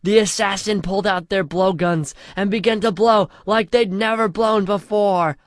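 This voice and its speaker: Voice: mid-range voice, fairly even intonation, normal speed, dry recording, clean studio sound. A storyteller reading a scripted comic fantasy parody in a mock-epic way, drawing out the chapter headings.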